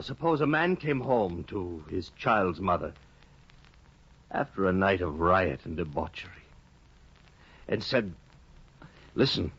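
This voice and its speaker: A man's voice: low voice, breathy edge, full character voice. An actor in a 1950s radio play, voicing a despairing, ruined male scholar who broods bitterly and breaks into anguished outbursts. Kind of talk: 1950s radio drama acting. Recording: dull 1950 radio broadcast.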